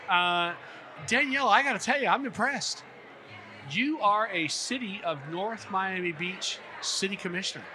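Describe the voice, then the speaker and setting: Male interview host, slightly high register, folksy and effusive, halting delivery, noisy location sound. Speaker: podcast host. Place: busy conference venue at a hotel. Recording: clear background noise on location.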